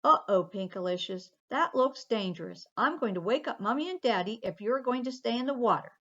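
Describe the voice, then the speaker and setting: A woman reading aloud as an eager little boy who looks out for his sister, earnest and bright. The voice lifts and dips a lot, and the recording sounds dull. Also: fairly high pitch, expressive, a little fast, voice pushed up. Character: eager young boy, protective brother.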